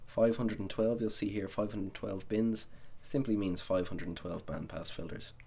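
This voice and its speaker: A man narrating a software tutorial as the instructor, explaining each step methodically. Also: fairly low pitch, moderate pace, gravelly, slight background noise.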